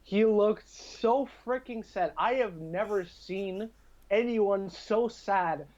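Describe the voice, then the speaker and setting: A man with a fairly high voice chats casually as a podcast guest, telling stories with animated emphasis. The sound is a little dull and lo-fi, like a voice call. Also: slow pace. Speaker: podcast guest and film reviewer. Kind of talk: casual film chat.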